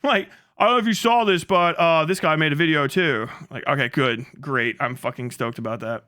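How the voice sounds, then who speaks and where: Male streamer, high bright voice, casual rambling commentary, amused and profane, close dry sound. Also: a little fast, no room sound. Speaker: live streamer, host of the channel. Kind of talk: casual livestream commentary with reading aloud.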